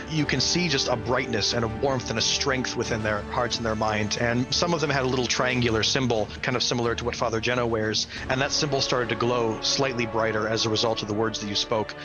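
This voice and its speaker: A man's voice: mid-range voice, poor audio. Male game master, dramatic tabletop narration, earnest and vividly descriptive, prominent music underneath.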